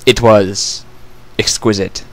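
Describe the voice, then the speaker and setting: A man's voice: fairly low pitch, little pitch movement, slow pace. A man narrating a fantasy story as a scripted dramatic reading.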